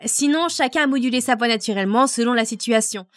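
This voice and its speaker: A woman's voice: a little high, fast talker, soft background music. A woman who voices a part in a fan dub, answering in a chatty, self-mocking way. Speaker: fandub voice actress. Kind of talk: casual recorded answer.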